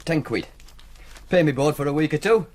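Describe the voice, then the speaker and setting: Easygoing young Northern English lad, higher-pitched. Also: male voice, expressive, normal speed, darkish tone. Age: young adult. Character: easygoing young university student, modest betting winner. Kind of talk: naturalistic British radio drama acting.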